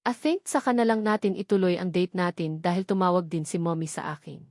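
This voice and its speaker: A woman's voice: on the low side, fairly quick, darkish tone, dry recording, lightly acted. A young bride-to-be in a romance audiobook, voiced by a woman narrator. She talks casually but hesitantly, putting on cheer over hidden hurt. The sound is dry and close. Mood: forced cheer.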